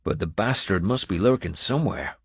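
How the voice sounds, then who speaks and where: A male narrator voicing a gruff, wry warrior who talks in a blunt, earthy way. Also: low-pitched, expressive, fairly quick, no echo, studio quality, full character voice. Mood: grim, scornful.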